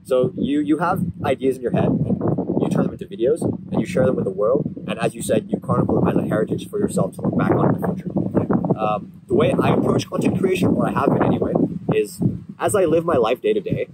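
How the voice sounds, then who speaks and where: A man's voice: medium pitch, lively intonation, fast. A man in his twenties from Thailand, a guest in a casual outdoor conversation, explaining his views earnestly with frequent ums. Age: 20s. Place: outdoors under trees. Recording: loud outdoor ambient noise.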